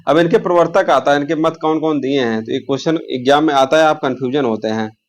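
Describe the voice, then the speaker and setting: A man's voice: a little high, quick pace. Man teaching an exam class. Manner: instructional, repeats key terms and asks rhetorical questions. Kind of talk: informal exam lesson, explaining questions.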